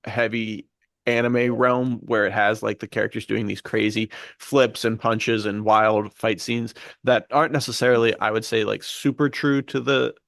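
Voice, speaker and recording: A man's voice: lowish voice, flat delivery, normal speed, gravelly, a bit bright, no echo, studio quality. A man co-hosting a podcast, casually and enthusiastically recommending things. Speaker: podcast co-host. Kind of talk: casual pop-culture podcast chat.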